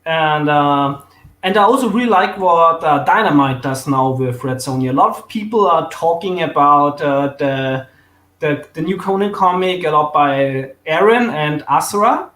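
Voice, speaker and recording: A man's voice: a little high, animated, moderate pace, a bit bright. Austrian man in an echoey room. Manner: enthusiastic, fillers and restarts, laughs easily. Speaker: guest. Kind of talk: casual chat about comics.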